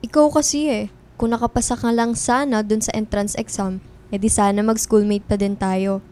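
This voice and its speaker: A woman's voice: medium pitch, steady tone, moderate pace, a little mellow, lightly acted. A woman playing a sulky, feisty college-age girl bickering with a best friend in a radio drama. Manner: pouty, bickering, mock-threatening. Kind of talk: radio drama acting in casual banter.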